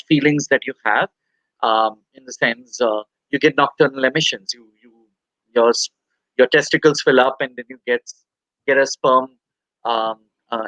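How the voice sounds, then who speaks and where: Halting, soft-spoken Indian man. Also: on the high side, no room sound, low-quality recording. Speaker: interview guest. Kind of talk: candid personal interview.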